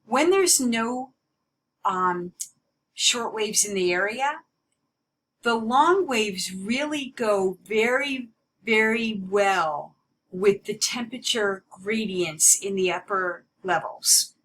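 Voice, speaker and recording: A woman giving an explanatory slide lecture as a teacher, stressing key words in a deliberate way. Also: medium pitch, lively intonation, fairly bright tone, slight background noise.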